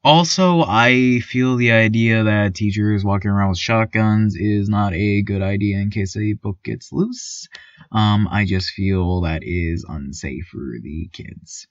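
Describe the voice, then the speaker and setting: A man playing a timid, awkward, eerily cheerful small-town radio news host, stammering and pausing through a surreal fiction podcast monologue. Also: fairly low pitch, no room sound. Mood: earnest, uneasy. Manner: hesitant, stammering, many ums and pauses. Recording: dull, lo-fi, slightly muffled sound.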